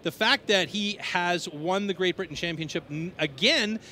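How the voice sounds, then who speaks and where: A male sports commentator with a high, forceful voice, speaking over faint background noise. He talks at length in an emphatic, conversational way, as in live event coverage. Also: moderate pace.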